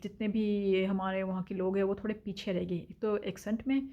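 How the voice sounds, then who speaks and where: A woman's voice: medium pitch, steady tone, moderate pace, mellow, lo-fi sound. A woman from India talking frankly in a casual vlog, with lots of filler sounds and opinions. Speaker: vlogger. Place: home in Denmark.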